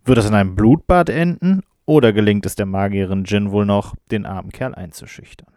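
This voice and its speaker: Low-voiced male narrator, close and dry. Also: fairly quick, darkish tone, clean tone, dry recording. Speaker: game master and narrator. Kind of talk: scripted recap narration to the listener.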